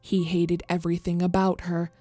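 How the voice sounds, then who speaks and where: A woman's voice: fairly low pitch, monotone, normal speed, mellow, faint music behind. A woman reading scripted narration of a horror story as the narrator.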